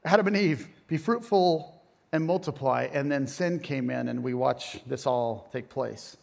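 A man's voice: medium pitch, a little slow, creaky, darkish tone. A middle-aged man preaching a conversational sermon, casual and self-deprecating with joking asides.

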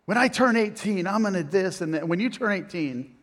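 A man's voice: high voice, animated, brisk, fairly bright tone. A middle-aged man preaching a conversational sermon, casual and self-deprecating with joking asides.

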